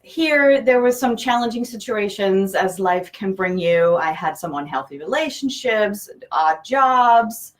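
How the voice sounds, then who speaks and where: A woman's voice: mid-range voice, animated, measured pace, few pauses. A woman from Denmark whose first language is Danish, answering conversationally as an interview guest and therapist.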